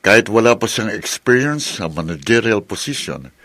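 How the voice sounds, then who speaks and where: An affable, trusting Filipino executive of middle age in a video drama, played by an actor. His voice sits fairly low and he talks in an easygoing way, dropping in English phrases. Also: big pitch swings, moderate pace, fairly bright tone, full character voice. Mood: approving. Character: affable, trusting older company executive, the godfather. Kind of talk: scripted Tagalog video drama acting.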